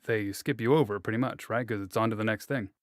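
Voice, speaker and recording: A man's voice: fairly low pitch, fast, no echo, studio quality. A man hosting a casual interview, asking long rambling questions with hesitations and restarts. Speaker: interviewer, host.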